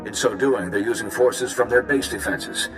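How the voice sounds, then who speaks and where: An actor playing a courteous, firm army general in a video game, formal and measured in delivery. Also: male voice, on the low side, long reverb tail, music in the background, natural voice. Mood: courteous, commanding.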